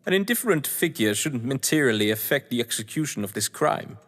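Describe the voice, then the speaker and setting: An actor playing a whimsical, scheming crime-novelist lord of the manor, with a British accent and a pompous, florid delivery, in a stage-thriller audio drama. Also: male voice, mid-range voice, very animated, fairly quick, a bit bright. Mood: coaxing, amused. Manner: florid, pompous, playfully grandiose. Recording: long hall-like reverb.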